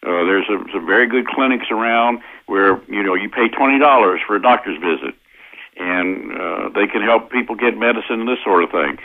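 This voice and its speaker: An American man explaining his points methodically as an interview guest, with hesitant pauses, over a tinny phone line. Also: on the low side. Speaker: interview guest, constitutional lawyer. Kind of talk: explanatory radio interview answers.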